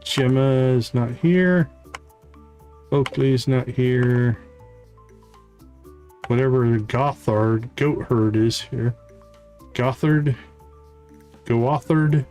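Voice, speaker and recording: Over soft game music, a man talks through his livestream at a slow pace. His voice sits at a middle pitch, and he thinks aloud in short, casual phrases as he plays. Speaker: live streamer, game host. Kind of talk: casual gameplay commentary, thinking aloud and reading game dialogue. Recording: music playing behind the voice.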